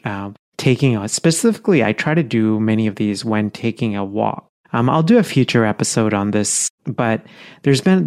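A man hosting a solo podcast episode, talking in an earnest, conversational way with frequent fillers and self-corrections. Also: mid-range voice. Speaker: podcast host.

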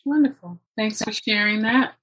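A woman's voice: medium pitch, moderate pace, a bit bright, breathy edge, rough recording. A woman hosting a conversational interview, speaking in an earnest, encouraging way. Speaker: interview host.

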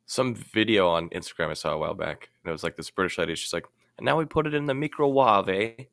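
A man's voice: deep voice, lively intonation, fast, creaky, fairly bright tone, no room sound, clean studio sound. A man in casual conversation as a podcast co-host. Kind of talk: casual chat.

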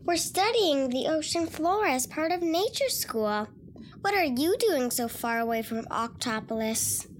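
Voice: expressive, measured pace, a little hiss or hum. A performer playing a friendly, chirpy child in a children's undersea audio drama, with a childlike voice. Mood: friendly, casual. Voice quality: very high, childlike.

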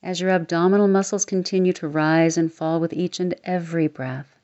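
A woman guiding a relaxation meditation, speaking slowly and soothingly and sometimes sinking into a soft near-whisper. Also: fairly low pitch, steady tone. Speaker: meditation guide. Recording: slightly dull lo-fi recording.

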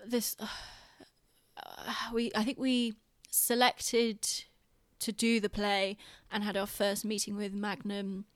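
A woman's voice: medium pitch, level tone, slow pace, no room sound. Woman co-hosting a podcast, dry close sound. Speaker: podcast co-host. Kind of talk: casual chat.

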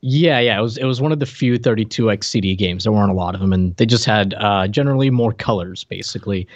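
A man's voice: fairly low pitch, fast talker, no echo. Dull-sounding, dry male podcast co-host. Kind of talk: casual game review banter. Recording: slightly dull, dry lo-fi microphone.

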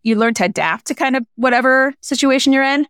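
A young woman talks through her story in a relaxed interview, often stopping and restarting as she thinks. Her voice sits slightly high and comes across smooth and resonant, close and dry, with no room around it. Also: no room sound. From United States, small-town Illinois. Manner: reflective, halting with many restarts and fillers. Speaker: filmmaker guest. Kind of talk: casual interview conversation.

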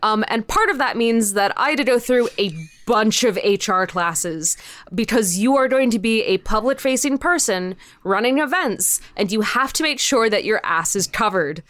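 A young woman with a fairly high voice talks as a guest in a casual interview. The sound is very dry, without room echo. She is emphatic and opinionated, speaking informally and swearing freely. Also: lively intonation, normal speed, a bit bright. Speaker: podcast guest, indie audio drama creator.

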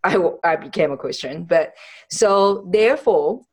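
A woman from Taiwan talks in a fairly low voice as a podcast host. She speaks conversationally in non-native English, pausing and searching for words as she shares personal experience. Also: expressive, darkish tone, breathy edge, poor audio. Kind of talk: conversational interview, personal storytelling.

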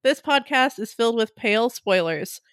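A woman's voice: a little high, animated, moderate pace, a bit bright, dry recording. A young woman co-hosting a casual podcast, easygoing and wry, tossing in dry asides. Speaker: podcast co-host. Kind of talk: casual chat.

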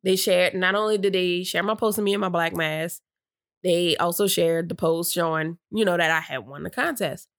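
A woman's voice: lowish voice, normal speed, dry recording, clean studio sound. Chatty woman with a stuffy nose. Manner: chatty, downplays excitement, rambling with restarts. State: stuffy nose, catching her breath. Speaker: podcast co-host. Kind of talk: casual storytelling chat.

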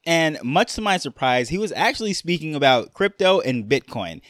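A man's voice: fairly high pitch, big pitch swings, normal speed, fairly bright tone, no echo, clean studio sound. A young man with a New York accent hosting a casual solo podcast, rambling along with fillers and restarts. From Jamaica, raised in New York. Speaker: podcast host.

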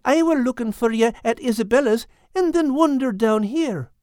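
A man's voice: extremely high pitch, animated, moderate pace, darkish tone, no room sound, clean studio sound, pitched above the performer's own voice. A man voicing a cheerful, affectionate male cat in a storybook reading, with homely folksy talk. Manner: homely folksy talk, calls her "love" and "lass".

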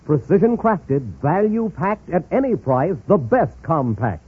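Animated raspy male pitchman, tinny radio. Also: measured pace. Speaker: advertisement pitchman. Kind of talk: rhymed 1950s-style radio commercial. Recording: tinny, narrow-band old radio recording.

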